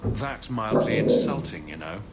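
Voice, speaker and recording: An actor playing a joking, easygoing adventurer hero in a video game, with a wry, glib manner. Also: male voice, lowish voice, lively intonation, measured pace, gravelly, over music. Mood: teasing.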